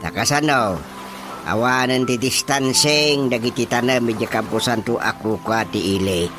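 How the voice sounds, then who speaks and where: A man's voice: mid-range voice, moderate pace, lightly acted. A man with an Ilocano accent playing a jolly older village man welcoming a guest in a radio drama. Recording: radio drama with music underneath.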